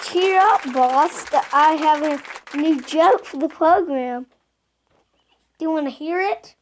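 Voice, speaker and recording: A woman's voice: high voice, slow pace, a faint crowd. A woman playing a cheerful, naive young male singer sidekick in an old-time radio comedy, eager and guileless. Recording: faint background noise.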